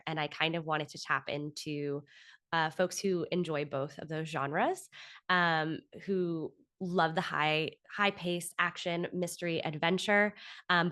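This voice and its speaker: A woman in her thirties with an American accent speaks in a lowish register. She answers interview questions conversationally, and the sound is dry, with very little room in it. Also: a bit bright, dry recording. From Florida, United States. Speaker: podcast guest, author and actor.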